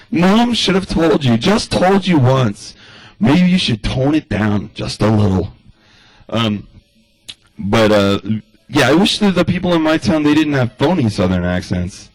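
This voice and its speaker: A man's voice: on the high side, animated, a little slow, no room sound, rough recording. A man doing a rambling stand-up comedy monologue in the style of a radio address, loose and chatty, full of asides.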